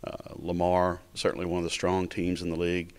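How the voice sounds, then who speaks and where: A man conducting a college sports interview, asking questions in a halting way with pauses. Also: low voice, monotone, darkish tone, a little hiss or hum. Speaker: interviewer for college tennis program.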